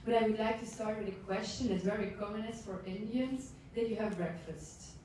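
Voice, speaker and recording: A young woman from Belgium, Dutch her first language, leads a classroom session in plain, careful English with a mellow voice. She is heard from a distance in a very echoey room. A faint hiss sits under the talk. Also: medium pitch, a little fast. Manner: simple careful English, asks the room questions. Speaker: intern presenter.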